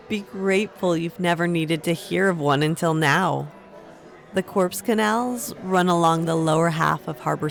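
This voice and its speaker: A young survivor in a medieval fantasy audio drama, telling her own story. Her voice sits on the low side and moves slowly, over soft music. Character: Rivette, resilient young woman recounting her ordeal.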